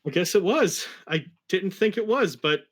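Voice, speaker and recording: A man's voice: high-pitched, animated, moderate pace. A man reading fan fiction aloud dramatically and chatting casually about it. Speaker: story reader.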